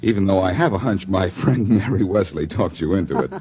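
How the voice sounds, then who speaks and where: A man's voice: low voice, expressive, fairly quick, a crowd in the background. A male actor playing a suave, courteous and upbeat hero in 1940s radio drama style. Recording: noisy muffled 1940s radio recording.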